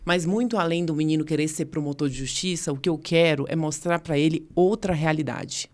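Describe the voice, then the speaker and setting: A woman with a lower voice and a light Bahian Portuguese accent chats as a podcast guest. She speaks earnestly and with determination, and laughs easily. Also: a little fast, a little mellow. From Brazil. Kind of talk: casual interview chat.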